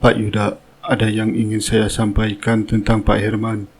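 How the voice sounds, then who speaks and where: A man playing a loyal, deferential driver in a cartoon melodrama, speaking formally in a husky voice. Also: lowish voice, monotone, normal speed, close to an everyday voice. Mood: earnest. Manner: formal, respectful. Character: loyal, deferential driver reporting to his boss.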